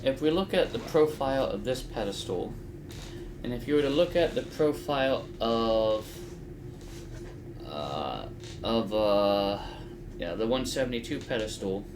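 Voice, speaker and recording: A middle-aged man casually explaining a hands-on build, halting as he thinks aloud, in a small home room. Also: medium pitch, animated. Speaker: host of a DIY flight simulator build channel. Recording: faint background room noise.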